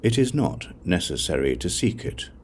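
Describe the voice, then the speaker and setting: A man narrating an audiobook of scripture, reading scripted text in a measured, solemn way, phrase by phrase. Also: low-pitched, big pitch swings, husky.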